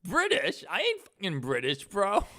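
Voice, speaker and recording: A man's voice: sits high, a little slow, no room sound, clean studio sound. New York man, casual slangy streamer. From Queens, New York. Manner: animated, slangy, swearing, joking. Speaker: streamer and animator. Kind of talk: casual reacting and chat while working.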